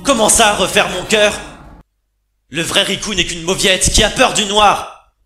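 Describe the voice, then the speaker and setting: A proud and brooding teenage boy, played by a man in a dramatic cartoon fan dub. He is hot-headed and defiant, with a lightish high pitch. The recording is roomy, with clear music behind. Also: measured pace, bright, forceful tone. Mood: defiant, angry.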